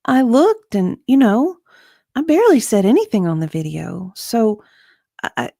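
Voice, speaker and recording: A woman playing a hesitant, forgetful witness in a dramatic reading of a legal deposition, halting and often trailing off. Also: on the high side, animated, creaky, mellow, no echo, studio quality. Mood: puzzled, defensive.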